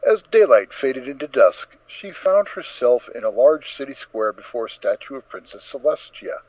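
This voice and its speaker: A man narrating a story as a dramatic reading, in an expressive, theatrical manner. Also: mid-range voice, normal speed, dry recording. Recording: slightly dull, dry close recording.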